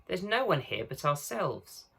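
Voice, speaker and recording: Storybook big brother, played by a man: earnest, decisive boy, bright and raised in pitch. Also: sing-song, a little slow, vocal fry, slightly rough, full character voice. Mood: questioning.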